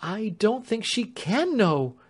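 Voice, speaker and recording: A man voicing a distraught, loyal female servant who pleads in a high, breathless voice. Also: very animated, takes it slowly, slightly rough, exaggerated voice. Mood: pleading.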